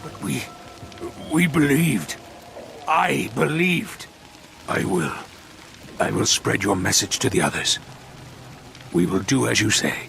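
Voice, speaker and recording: A slow, gravelly zealot cult leader. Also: male voice, mid-range voice, expressive, a bit bright, slight background noise, heavily acted. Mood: wounded devotion. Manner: grandiose, solemn preaching. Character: fanatical male leader of murderous escaped slaves, zealous. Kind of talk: dramatic video game voice acting.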